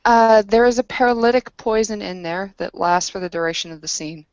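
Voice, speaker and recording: A woman's voice: medium pitch, fairly quick, darkish tone, smooth, dry recording, dull audio. A woman chatting casually as a player in a tabletop roleplaying game.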